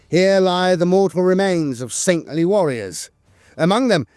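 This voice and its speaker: A man's voice: a little high, darkish tone, no echo, clean studio sound. A man narrating scripted lore in a solemn, formal and dramatic way.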